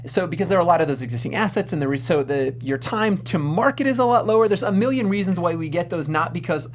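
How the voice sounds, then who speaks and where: A man talks very quickly in a casual, critical panel discussion about film. He argues in an informal way, often breaking off and restarting, with a faint hum behind him. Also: high voice, expressive. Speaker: show panelist.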